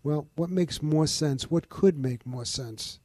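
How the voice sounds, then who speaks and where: Older Bronx-raised man, steady interview guest, warm and close. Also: a little high, dry recording. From the Bronx, New York. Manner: measured, informative, lists details. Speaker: museum founder, guest. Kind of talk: interview conversation.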